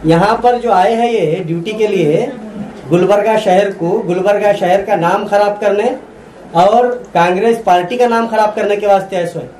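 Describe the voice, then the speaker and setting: A man's voice: high-pitched, moderate pace, darkish tone, slight background noise, poor audio. A man with a Deccani accent gives an indignant, accusatory protest statement to the press, hammering his demands repeatedly. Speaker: activist spokesman.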